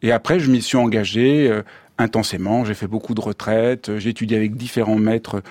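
A man's voice: fairly low pitch, fairly even intonation, fairly quick, darkish tone, no echo. A man being interviewed on radio, speaking thoughtfully about his life with many restarts. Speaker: guest, meditation teacher. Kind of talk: radio interview, reflective talk. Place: radio studio.

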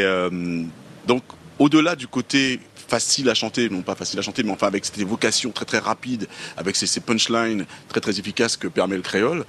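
A man's voice: on the low side, a bit bright, slight background noise. A man from near Paris, a musician guest, answering interview questions in a reflective, hesitant way. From France, near Paris. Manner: reflective, hesitant with many fillers.

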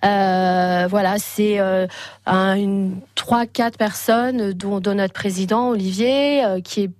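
Guest spot: woman from Paris, festival coordinator, halting delivery full of "euh", dry close mic. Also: mid-range voice, darkish tone, no echo. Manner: hesitant, many fillers and restarts. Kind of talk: radio interview.

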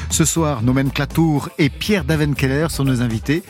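A man's voice: a little high, moderate pace. Man hosting an electro radio show. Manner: smooth scripted announcing with lifts on names. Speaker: radio host. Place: radio studio. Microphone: studio microphone.

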